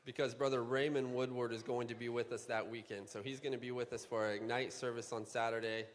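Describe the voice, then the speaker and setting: A youth pastor giving lively, joking announcements from the church platform. His mid-pitched man's voice carries through a large sanctuary with a long ringing reverb. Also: fast talker. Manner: upbeat, joking, with bursts of excitement. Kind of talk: church announcements and humorous introduction.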